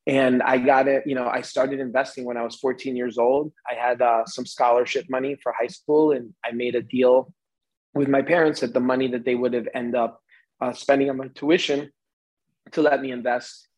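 A man's voice: medium pitch, little pitch movement, moderate pace, darkish tone, low-quality recording. Rambling American man in his forties. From United States. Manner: rambling, many fillers and restarts. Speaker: interview guest. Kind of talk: casual podcast conversation.

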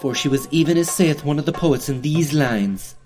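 A man's voice: fairly high pitch, normal speed, over music. A man narrating a scripted dramatic tale in a formal, archaic, theatrical storytelling manner.

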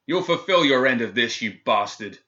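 A man voicing a desperate, betrayed male captain locked in a cell, shouting and pleading with rage. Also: on the low side, steady tone, normal speed, lo-fi sound, lightly acted. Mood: furious shouting.

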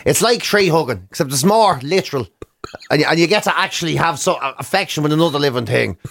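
Higher-voiced Irish man, jokey radio chat. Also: expressive, quick pace, gravelly, a bit bright. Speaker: radio show co-host. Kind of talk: casual radio banter.

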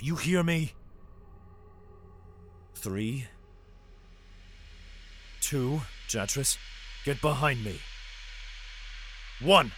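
A man's voice: mid-range voice, a bit bright, slight background noise. A man playing a desperate, protective father fleeing underground in an audio drama, who also narrates in the first person. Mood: threatening, urgent.

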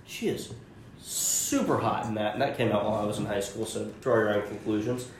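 Easygoing young man, lowish voice. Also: brisk. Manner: joking, easygoing, laughs often. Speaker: podcast co-host. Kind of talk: casual chat. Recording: echoey room with faint hiss.

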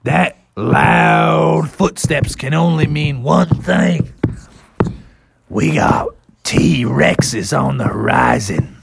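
A man's voice: fairly high pitch, expressive, drawn-out words, vocal fry, noisy background, exaggerated voice. A man voicing a bold, friendly cowboy triceratops with folksy, ungrammatical talk, for a children's story. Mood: steady, protective.

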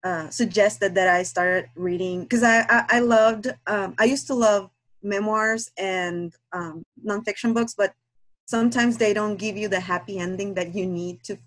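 A woman's voice: mid-range voice, fairly even intonation, measured pace. Hesitant woman. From Guatemala. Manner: hesitant, many fillers and restarts. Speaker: guest listener and aspiring romance author. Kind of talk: casual podcast chat.

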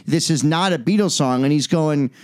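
A man's voice: a little high, normal speed, darkish tone, smooth. A man co-hosting a podcast, chatting casually about music in a jokey, rambling way and often correcting himself. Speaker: podcast co-host.

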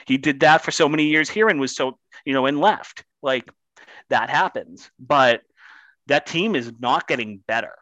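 Bright-toned man talking football. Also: mid-range voice, normal speed, dry recording, muffled recording. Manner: animated, builds points with repeated phrases. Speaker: podcast co-host. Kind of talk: casual sports chat.

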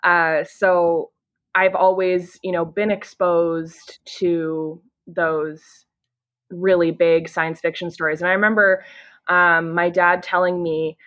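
Sex: woman. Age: 30s. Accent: Canadian. Pitch: lowish. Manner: eager, chatty podcast guest. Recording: dull, lo-fi remote audio. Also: little pitch movement, takes it slowly, a bit bright. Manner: eager, chatty, frequent "you know" and "like". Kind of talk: casual conversation.

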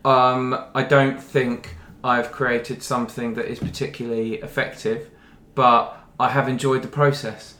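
A man with an average-pitched voice chats casually in an echoey room. He is self-deprecating and talks as a contestant in a relaxed group conversation. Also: a little slow. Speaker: craft challenge contestant. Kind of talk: casual chat. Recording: roomy, echoey recording.

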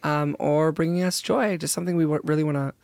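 A hoarse podcast co-host in casual chat, joking and rambling with lots of slang. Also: female voice, sits low, fairly even intonation, fast, darkish tone, dry recording.

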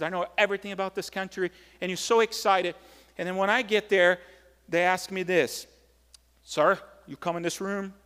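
A male pastor with a Brazilian accent speaks in a noticeably high-pitched voice, with long reverb from the hall around him. His sermon is conversational and animated, built on personal storytelling in English as a second language. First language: Portuguese. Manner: animated storytelling, acts out short exchanges.

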